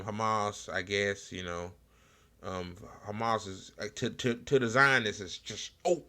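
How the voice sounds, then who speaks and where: An American man hosting a casual, unscripted political commentary show, talking bluntly in slang and profanity with halting, repetitive phrasing. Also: mid-range voice, bright, forceful tone. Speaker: online political talk show host.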